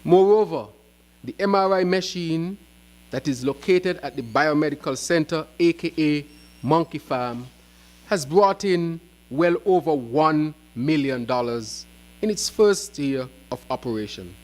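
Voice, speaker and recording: A man giving a prepared press conference speech as an opposition spokesman, in deliberate, emphatic short phrases. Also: a little high, lively intonation, darkish tone.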